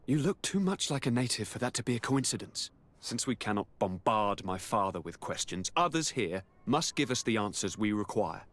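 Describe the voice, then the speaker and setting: A man playing an affectionate young governor in a video game, with a theatrical, lively manner. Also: on the low side, moderate pace. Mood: intrigued, decisive. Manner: theatrical, lively, swinging to whispers. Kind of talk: video game cutscene acting.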